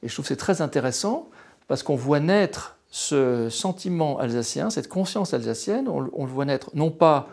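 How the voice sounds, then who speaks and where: A man's voice: a little high, animated, moderate pace, creaky, a little mellow. A French man in his late sixties, a retired history professor, talks hesitantly in an interview, with many fillers and restarts. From France. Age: 60s. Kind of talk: interview, personal account.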